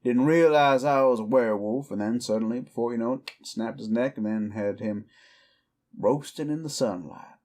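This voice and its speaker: An actor playing a weary, defiant cowboy outlaw werewolf in an audio roleplay, with a folksy, brooding American Southern or Western drawl. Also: male voice, mid-range voice, moderate pace, darkish tone, lightly acted. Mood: matter-of-fact, reflective. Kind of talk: slow dramatic roleplay monologue to the listener.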